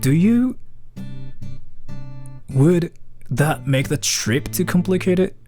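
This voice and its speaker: Male streamer with very animated, swinging pitch. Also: slow pace, faint music behind. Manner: rambling, repetitive, hesitant. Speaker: livestreamer reading donations. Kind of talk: casual livestream chat.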